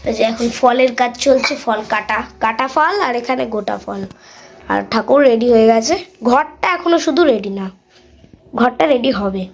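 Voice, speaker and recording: A woman's voice: a little high, normal speed, slight background noise. A woman narrating a casual show-and-tell vlog, pointing things out one by one. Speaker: vlog host.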